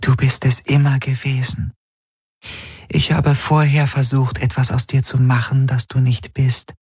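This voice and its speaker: A man voicing a sorrowful, fading female spirit who speaks softly and half in whispers to her master. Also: mid-range voice, little pitch movement, a little slow, husky, dry recording, studio quality, pitched above the performer's own voice. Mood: tender. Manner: soft, pleading, partly whispered.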